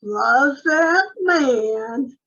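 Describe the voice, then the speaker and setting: High-voiced, slow, naive housemaid. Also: female voice, animated, fairly bright tone, highs cut off, exaggerated voice. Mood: earnest, smitten. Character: cheerful, naive, love-struck housemaid who muddles her words. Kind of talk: old-time radio comedy acting.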